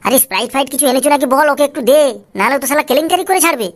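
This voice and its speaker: A woman voicing an excuse-making, foul-mouthed male drinking buddy in a comic cartoon, hurried and slangy. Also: sits high, expressive. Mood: alarmed, grumbling. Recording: clear background noise.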